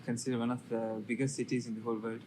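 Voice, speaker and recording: A man in his twenties who grew up in Saudi Arabia, talking as a podcast guest in an even, measured way with many fillers. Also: mid-range voice. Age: 20s. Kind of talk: casual interview storytelling. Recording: noticeable background hiss.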